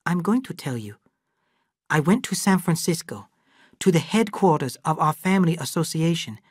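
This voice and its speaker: Woman doing earnest thoughtful cook guy, talks careful and measured, higher than her narration. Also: expressive, darkish tone, slightly rough, no echo, studio quality. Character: thoughtful middle-aged male household cook.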